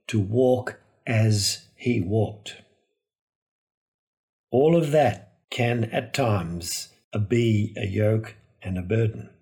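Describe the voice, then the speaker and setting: A low-voiced man delivers a Gospel homily. He speaks very slowly and solemnly, pausing between deliberate phrases like a preacher reading a prepared reflection. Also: a little mellow. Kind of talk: reflective scripted sermon.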